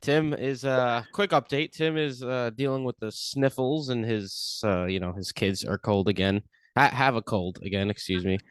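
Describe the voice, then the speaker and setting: A man hosting a podcast in relaxed, teasing chat. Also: medium pitch, moderate pace, smooth, no room sound. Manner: teasing, laid-back. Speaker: podcast host. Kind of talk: casual chat.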